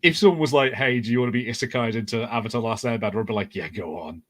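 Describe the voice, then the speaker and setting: A man's voice: medium pitch, very fast, fairly bright tone. A man on a fan podcast panel, chatting informally and thinking aloud in a tentative way. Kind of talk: casual fan panel chat.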